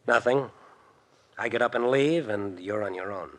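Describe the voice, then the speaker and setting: An actor playing a tough, bossy, embittered gangster in a 1940s radio drama, with an American accent and clipped, slangy speech. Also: male voice, on the low side, moderate pace, fairly bright tone, lightly acted. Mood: businesslike, pressing. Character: tough, menacing gangster boss, bossy, bitter jilted husband.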